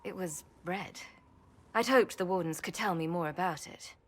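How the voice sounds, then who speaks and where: An actress playing a weary, modest champion in a fantasy video game, measured and reflective. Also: female voice, lowish voice, a bit bright. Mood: grave, informative. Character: weary, modest female champion, sober and helpful. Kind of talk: video game dialogue acting.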